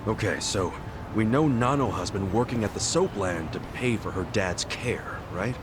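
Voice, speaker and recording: A man's voice: on the low side, moderate pace, noisy background, lightly acted. A man playing a blunt, scrappy street-tough hero in an English game dub, speaking informally and expressively. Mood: skeptical.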